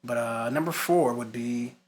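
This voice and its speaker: A man's voice: mid-range voice, unhurried. A man giving an instructional lesson, moving between scripted points and casual, emphatic asides. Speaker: music instructor.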